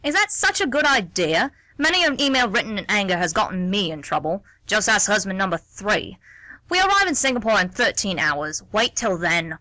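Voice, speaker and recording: A woman's voice: mid-range voice, big pitch swings, moderate pace, bright, forceful tone, no room sound, low-quality recording. A woman acting a sardonic, meddling older woman in a radio play, with a dry, blunt, wry delivery. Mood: dry, chiding. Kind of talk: stage-play dialogue read as radio theatre.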